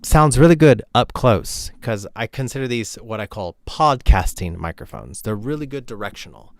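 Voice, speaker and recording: A man with a baritone voice hosting a casual livestream lesson, chatting while he demonstrates microphones and moves closer to and away from them. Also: expressive, normal speed, no room sound. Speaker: cello teacher hosting a live stream. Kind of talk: casual livestream demo talk. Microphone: switches between several desk microphones.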